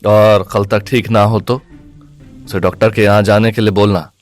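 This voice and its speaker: A man's voice: fairly low pitch, little pitch movement, normal speed, faint music behind, natural voice. An actor playing a brusque, irritable young man in an audio drama, curt and grumbling. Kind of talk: Hindi audio drama acting.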